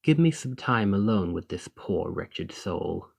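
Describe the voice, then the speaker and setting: A man's voice: deep voice, animated, creaky, slightly rough, full character voice. A man playing an ambitious foreign noble in a fantasy audio roleplay, speaking in a formal, composed, courteous and persuasive manner. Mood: sympathetic, composed. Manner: formal, measured, deliberate, condescendingly sympathetic. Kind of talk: scripted fantasy ASMR roleplay monologue.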